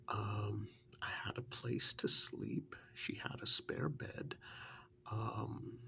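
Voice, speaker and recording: A man voicing a witch's loyal, plain-spoken assistant in a soft-spoken ASMR role-play, half-whispering and telling his story in a halting, matter-of-fact way. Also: mid-range voice, heavily acted. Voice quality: soft, breathy half-whisper with gravelly fry.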